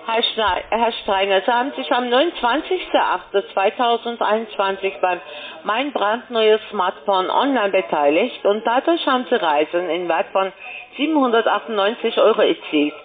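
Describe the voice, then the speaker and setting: A woman reads out a scripted telemarketing pitch. Her voice sits at a medium pitch and comes through a thin, band-limited telephone connection. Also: lively intonation, normal speed. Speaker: call-centre telemarketer. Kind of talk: scripted phone sales pitch. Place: phone call. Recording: muffled, band-limited phone line.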